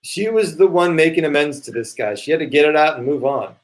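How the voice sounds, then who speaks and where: A man playing an earnest, impatient waiter seeking forgiveness in a play reading, talking in a halting, urgent way. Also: fairly high pitch, animated, lightly acted. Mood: explaining.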